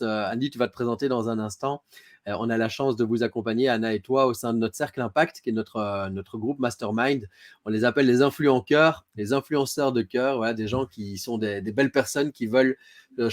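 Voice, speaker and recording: A man's voice: medium pitch, a little mellow. Friendly male interview host. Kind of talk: casual live-streamed interview. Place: small office.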